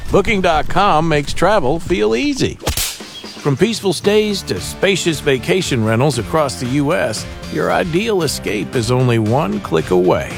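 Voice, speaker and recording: A man's voice: on the high side, animated, a little slow, creaky, over music. A man voicing a travel ad in a folksy cowboy manner. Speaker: ad voice-over.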